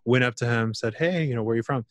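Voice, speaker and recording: A man hosting a casual interview, relaxed and laughing, telling loose anecdotes and asking questions. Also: mid-range voice, fast, dry recording, clean studio sound. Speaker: podcast host.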